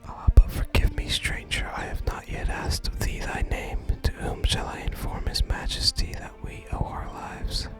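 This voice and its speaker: The voice is an entirely whispered, breathy ASMR delivery. It reads fantasy game dialogue in a gentle, deliberate way and slips into casual player commentary. Music is clearly audible behind the whisper. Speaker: ASMR gaming content creator.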